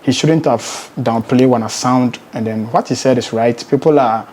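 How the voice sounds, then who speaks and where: A man's voice: medium pitch, normal speed, a little mellow, a little hiss or hum. A man with a Ghanaian accent speaking as a musician being interviewed, emphatically, repeating words and posing rhetorical questions. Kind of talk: conversational interview.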